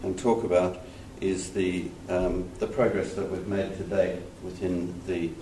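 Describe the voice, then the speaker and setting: A man opens a talk and hands over to the next speaker, halting with fillers. His voice is very low. He sounds distant from the mic in an echoing room, with clear background hiss. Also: level tone, warm tone. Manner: halting, fillers between phrases. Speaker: researcher introducing a speaker. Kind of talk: conference presentation.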